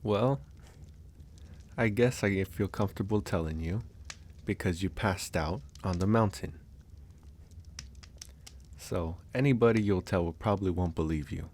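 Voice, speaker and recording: Man as a low, slow-talking easygoing werewolf. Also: darkish tone, slight background noise. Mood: relaxed, obliging. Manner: unhurried, musing delivery with sighs and pauses. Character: easygoing male werewolf host, calm and candid. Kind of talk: ASMR audio roleplay, intimate one-sided conversation.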